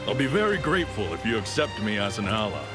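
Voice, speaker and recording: Seasoned mercenary warrior, expressive delivery. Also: male voice, on the low side, quick pace. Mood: earnest, wry. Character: weary, plain-spoken veteran mercenary warrior seeking new allies. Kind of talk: dramatic game dialogue. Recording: loud music and rain behind.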